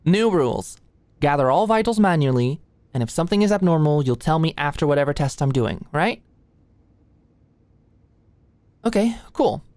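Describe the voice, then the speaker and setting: A woman's voice: sits low, expressive, a little fast, a little mellow, dry recording. A woman playing an anxious, flustered young medical student in an intimate ASMR roleplay, with a hesitant, stammering, playfully defensive way of talking. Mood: focused, earnest. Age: young adult. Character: anxious, flustered, endearing short female medical student study buddy.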